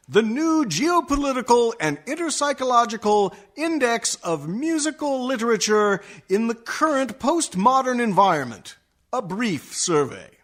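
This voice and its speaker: An actor playing a polite, hesitant arts show host interviewing a guest in a comic radio play. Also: male voice, very high voice, a bit bright, exaggerated voice. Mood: grandly welcoming.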